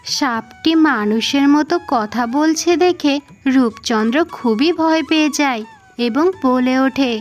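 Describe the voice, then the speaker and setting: A woman with a high voice narrates a fairy tale. The delivery is scripted storytelling for a cartoon, with faint music playing underneath. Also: slow. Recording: music behind the voice.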